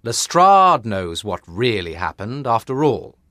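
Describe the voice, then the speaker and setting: A composed, measured detective, played by a narrator, speaking slowly and quietly with lively rises and falls in his voice. He sits a bit higher than the narrator's own voice, recorded dry and close. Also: dry recording. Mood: calm. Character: calm, measured adult male detective. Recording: dry close studio sound.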